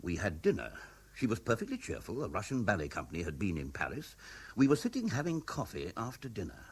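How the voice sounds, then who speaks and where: An anxious middle-aged British husband in a vintage radio play. His voice is low and husky, with pitch that swings widely as he confides, earnest and hesitant yet insistent. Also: wildly swooping pitch, a little fast, full character voice. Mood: troubled, recalling. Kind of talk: 1970s British radio drama acting.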